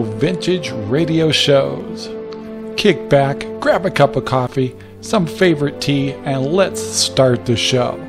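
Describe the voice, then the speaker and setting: A man reading a scripted introduction as host of a show. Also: mid-range voice. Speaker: podcast host.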